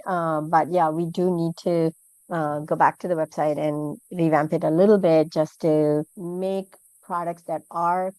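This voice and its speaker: A middle-aged woman from Malaysia, a food business owner answering interview questions in a quick, chatty way with lots of "you know". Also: lowish voice, a little mellow, dry recording. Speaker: guest, food entrepreneur.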